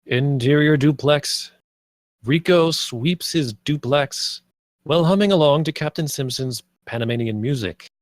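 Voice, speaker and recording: A man's voice: a little high, a little slow, no echo. A man reading stage directions as scripted narration at a table read.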